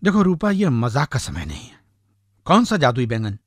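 A man playing an earnest, anxious husband and office worker in an animated story, with a husky voice. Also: mid-range voice, normal speed, no room sound, studio quality. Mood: worried. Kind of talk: animated moral story voice acting.

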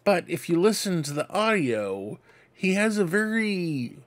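Slow-paced middle-aged man, casual vlogger. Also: high-pitched, very animated. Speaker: host of a fan book club vlog. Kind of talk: casual show-and-tell commentary. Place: cluttered room indoors at home. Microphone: desk microphone close in front.

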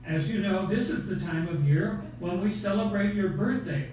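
Man playing a high-pitched jolly Santa puppet. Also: normal speed, a little mellow, natural voice. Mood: announcing. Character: jolly old Santa puppet hosting a Christmas show. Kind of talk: children's puppet show acting. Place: theatre, behind puppet stage curtain. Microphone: far camera. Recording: very echoey hall from far off, faint noise.

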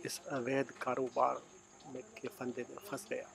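A man's voice: medium pitch, monotone, vocal fry. Man narrating a travel documentary. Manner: measured, storytelling delivery.

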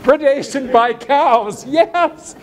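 Blunt, high-voiced man presenting to ranchers. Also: slow pace. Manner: blunt, sarcastic, emphatic; reads legal text aloud, then comments. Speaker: speaker at an agricultural seminar.

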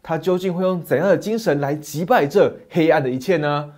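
A middle-aged man narrating a fairy tale as a channel host, with a dramatic, animated manner, on a lapel mic in a small dim study. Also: fairly high pitch, a little fast. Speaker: storyteller host.

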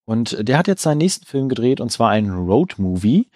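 A man co-hosting a casual film news podcast, speaking in a relaxed, affirming way and recommending titles. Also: medium pitch, brisk, no echo, clean studio sound. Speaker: podcast co-host.

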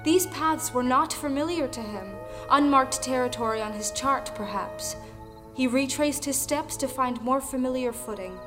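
A woman narrating a folktale audio play with deliberate, dramatic storytelling. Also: high-pitched, lightly acted. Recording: music under the voice.

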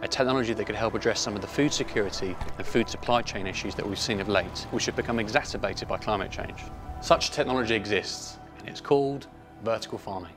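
A man with a fairly low voice delivers a scripted company pitch. He presents in a measured, rhetorical way, with music playing behind him. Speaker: company spokesman in a promotional pitch. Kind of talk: scripted promotional narration.

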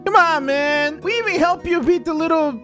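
A man's voice: very high-pitched, moderate pace, bright, forceful tone, soft background music. A man play-acting in an improvised game roleplay, slangy and repeating phrases in bursts. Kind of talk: excitable improvised gaming roleplay.

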